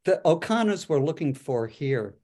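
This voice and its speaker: A man's voice: on the high side, sing-song, normal speed, dark tone. A man presenting his book as a poet, moving between informal introductions and read poems. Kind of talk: poetry reading with informal introductions.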